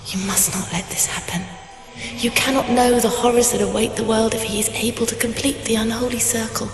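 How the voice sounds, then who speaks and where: A woman's voice: on the high side, steady tone, a little fast, natural voice. An actress playing a saintly holy woman who guides a knight with prayers, speaking solemnly and earnestly in a dramatic video game cutscene. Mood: earnest, urgent. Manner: solemn, earnest, reverent, formal. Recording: echoing game audio over music. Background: game music.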